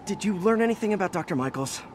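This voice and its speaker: An actor playing a young, quippy, well-meaning superhero in a video game, awkward and self-deprecating. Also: male voice, high-pitched, lively intonation, fast, noisy background. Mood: flustered. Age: young adult. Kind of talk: naturalistic video game acting.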